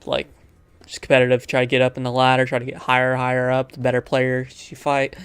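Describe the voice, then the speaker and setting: A man's voice: mid-range voice, completely flat delivery, fast talker, fairly bright tone, clear, resonant tone, dry recording, faint music behind. A man hosting a gaming video, chatting casually and reading game text aloud, often stumbling over the words as he goes.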